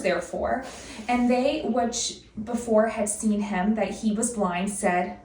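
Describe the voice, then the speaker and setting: A woman giving a formal, measured and deliberate scripture reading in old-fashioned wording. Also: fairly high pitch, darkish tone. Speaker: scripture reader. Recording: distant mic, echoey room, faint noise.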